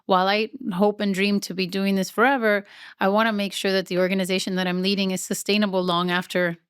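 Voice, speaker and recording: Chatty woman with a smooth, dry voice. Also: mid-range voice, level tone, fast, no echo. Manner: chatty and self-deprecating, with frequent fillers. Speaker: nonprofit CEO, guest. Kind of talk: casual podcast interview.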